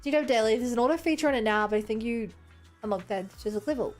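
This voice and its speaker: Gamer girl from new zealand streaming, pretty high voice, bit of music under her. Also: fast talker. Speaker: game streamer. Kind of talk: livestream gaming chat and reading dialogue aloud.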